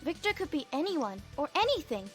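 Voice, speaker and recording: A woman's voice: high-pitched, big pitch swings, brisk, soft background music. An actress voicing a young, girlish, diligent computer whiz in a visual novel game. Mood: matter-of-fact.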